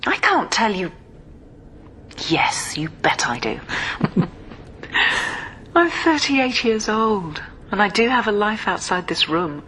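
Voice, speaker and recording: An actress playing a guarded, earnest woman intermediary in a radio drama, speaking in a measured way. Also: medium pitch, big pitch swings, slight background noise. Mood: guarded, defensive. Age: 30s. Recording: radio drama with loud music behind.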